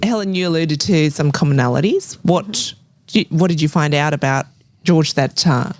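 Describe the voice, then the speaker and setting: An Australian woman interviews two guests on a podcast, prompting them with quick agreements. Her voice is on the lower side but swings widely. The sound is close and dry. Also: expressive, dry recording. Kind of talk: casual interview.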